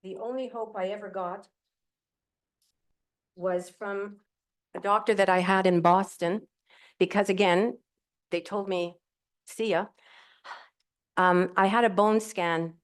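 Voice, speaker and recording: A woman's voice: medium pitch, fairly even intonation, slow pace, pauses often. A middle-aged woman telling her own story as a panel guest at a live event, cheerful, candid and rambling, speaking into a handheld microphone. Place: event hall with audience.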